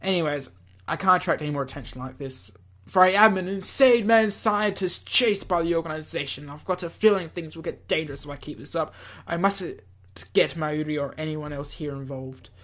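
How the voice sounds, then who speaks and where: A man's voice: on the high side, brisk, a bit bright. A man hosting a let's play, reading a visual novel aloud theatrically and adding casual, offhand asides. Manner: theatrical read-aloud mixed with offhand commentary. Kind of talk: game narration with casual asides.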